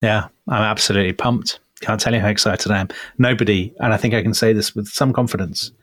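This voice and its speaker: A man's voice: on the low side, fairly even intonation, fast talker, fairly bright tone, no echo. A man co-hosting a podcast, chatting in a teasing, mock-indignant way. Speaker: podcast co-host. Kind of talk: bantering podcast chat.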